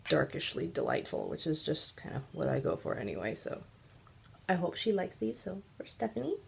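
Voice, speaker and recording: A woman's voice: low-pitched, wildly swooping pitch, normal speed, creaky, a little hiss or hum. A stuffy, congested woman hosting a casual knitting video podcast, chatty and rambling with many ums. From Central California. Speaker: podcast host.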